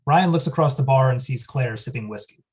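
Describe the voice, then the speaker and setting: A man's voice: medium pitch, a little fast, a little mellow. A man reading the stage directions at a script table read, in a plain, matter-of-fact voice.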